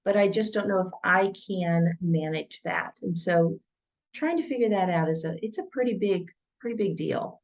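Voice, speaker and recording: A woman's voice: fairly low pitch, moderate pace, dull audio. A woman who writes books, talking casually as a guest in a podcast interview.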